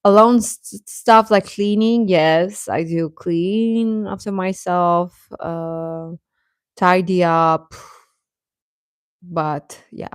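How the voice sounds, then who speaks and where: A woman's voice: on the low side, fairly even intonation, extremely slow, no echo, studio quality. A woman hosting a livestream, chatting casually and advising viewers in a conversational way, often hesitating and correcting herself. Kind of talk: casual chat, giving advice to viewers.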